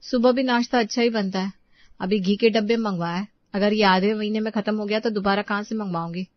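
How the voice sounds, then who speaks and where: A woman's voice: mid-range voice, steady tone, brisk, no echo, natural voice. Woman playing young indian housewife in a family story, dutiful n fretting, dry muffled recording. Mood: worried. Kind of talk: acted Hindi family story dialogue.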